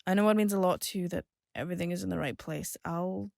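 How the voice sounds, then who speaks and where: A woman's voice: on the low side, fast talker, creaky, a little mellow, no room sound, studio quality. A woman acting a fed-up, blunt young adult daughter in an audio drama, conversational and sardonic. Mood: apologetic, candid.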